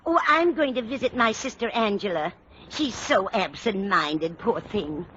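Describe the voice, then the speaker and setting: A woman's voice: mid-range voice, expressive, a little slow, natural voice. An actress playing a kindly, absent-minded older landlady who dithers earnestly in a 1940s radio comedy. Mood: chatty. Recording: muffled, hissy old radio broadcast.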